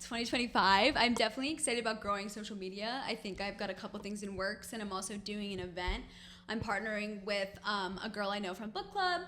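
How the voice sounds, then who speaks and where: A woman's voice: mid-range voice, quick pace, bright, forceful tone, a little hiss or hum. Young woman, casual podcast guest. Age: young adult. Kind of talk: casual chatty interview.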